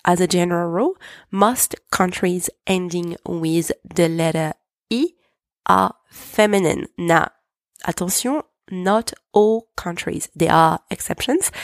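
Slow, patient woman teaching French. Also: lowish voice, dry recording, clean studio sound. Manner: clear, patient explaining with French examples repeated slowly. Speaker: French teacher, podcast host. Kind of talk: podcast language lesson.

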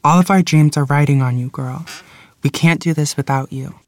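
A woman's voice: low-pitched, normal speed, no room sound, natural voice. A woman playing a pushy, ambitious bandmate in a dramatic script reading. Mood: insistent. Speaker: actress at a screenplay table read.

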